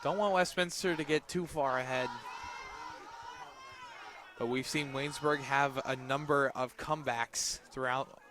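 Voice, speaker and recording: A man doing live play-by-play softball commentary for radio, with clipped, terse calls that lift on big plays. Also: mid-range voice, fairly even intonation, a little slow, pauses often, a crowd in the background, background noise. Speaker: play-by-play sportscaster.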